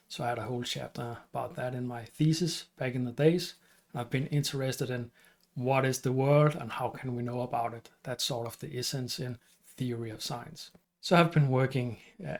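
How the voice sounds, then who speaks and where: A middle-aged man with a Danish accent, hosting his channel and explaining at an unhurried pace with some fillers and restarts. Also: mid-range voice. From Denmark. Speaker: channel host and teacher. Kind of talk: casual explainer talk to camera.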